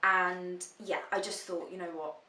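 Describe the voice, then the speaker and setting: A woman's voice: lowish voice, fairly even intonation, a little slow, sharp tone. A woman answers viewer questions in a frank, chatty vlog, swearing freely. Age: 20s. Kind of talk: casual vlog Q&A. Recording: roomy echo, voice a bit far from the mic.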